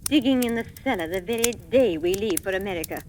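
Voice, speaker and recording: An actress playing a domineering, suspicious, nagging wife in a 1940s radio drama, imperious and scolding. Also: female voice, medium pitch, expressive, fast talker, close to an everyday voice. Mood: scornful, scolding. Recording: faintly crackly vintage radio recording.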